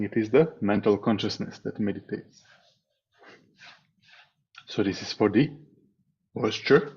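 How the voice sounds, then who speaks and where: A man leading a guided meditation as a teacher, speaking in a calm, measured way with long pauses between phrases. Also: lowish voice, slightly rough. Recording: dull, muffled online meeting audio.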